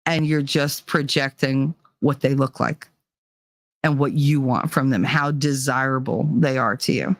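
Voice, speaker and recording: A woman's voice: extremely low pitch, steady tone, unhurried, no room sound. Middle-aged woman ranting on her stream, blunt and mocky, laughs a lot, dry close mic. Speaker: livestream host reading chat. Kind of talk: casual opinionated livestream chat.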